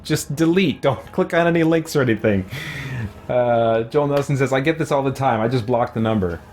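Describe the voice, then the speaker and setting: A man's voice: medium pitch, fairly quick, darkish tone, a little hiss or hum. A man hosting a let's play with casual, conversational commentary, musing and easily amused. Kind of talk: casual gaming commentary with chat reading.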